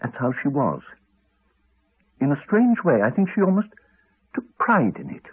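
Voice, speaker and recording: A haunted man in an eighties radio play speaks through a narrow, phone-like recording. His voice is very husky, and his pitch moves a great deal as he haltingly confides, trailing off into pauses. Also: wildly swooping pitch, heavily acted. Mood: uneasy, reflective. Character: Alex, haunted man haltingly recounting a horror. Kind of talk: 1980s radio drama acting.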